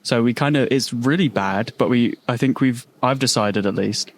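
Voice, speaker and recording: Young male co-host from the UK, mid-pitched, enthusiastic and slangy, loose banter. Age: young adult. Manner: enthusiastic, slangy, quick to laugh. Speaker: podcast co-host. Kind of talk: casual chat.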